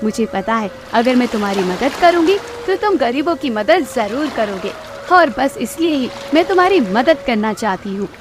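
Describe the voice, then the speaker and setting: Woman as a high-voiced, kindly cartoon mermaid. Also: big pitch swings, moderate pace, noisy background. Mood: reassuring, kind. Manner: gentle, reassuring, earnest. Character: kind, reassuring river mermaid who grants help.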